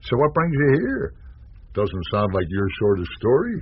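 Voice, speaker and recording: Muffled noisy radio recording, hearty middle-aged newspaperman, informal, lively swooping intonation. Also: fairly low pitch, normal speed. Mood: hearty welcome. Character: hearty middle-aged newspaperman, settled after restless wandering years. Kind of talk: radio drama acting.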